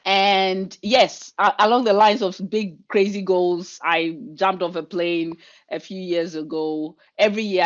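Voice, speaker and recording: Brief: middle-aged Ghanaian woman, lower voice, brisk and lively workshop leader on a video call. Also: highs cut off. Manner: fast, energetic, chatty delivery. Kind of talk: online workshop presentation.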